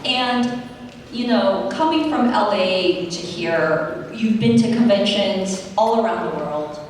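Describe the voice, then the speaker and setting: A woman's voice: fairly low pitch, a little hiss or hum. A woman interviewing a guest on a convention panel, friendly and chatty, with fillers. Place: large convention hall. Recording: echoey hall recorded from far off.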